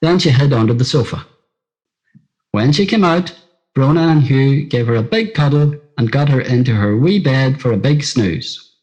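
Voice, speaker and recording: A man's voice: medium pitch, lively intonation, normal speed, a little mellow, lo-fi sound. A middle-aged man with a Northern Irish accent reads his own scripted personal story in a simple, plain-spoken storytelling manner. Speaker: writer reading own story.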